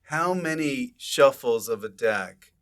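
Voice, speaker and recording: A man's voice: medium pitch, expressive, takes it slowly, fairly bright tone. A man hosting a casual comedy podcast, rambling and joking, given to exaggerated outbursts. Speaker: podcast host.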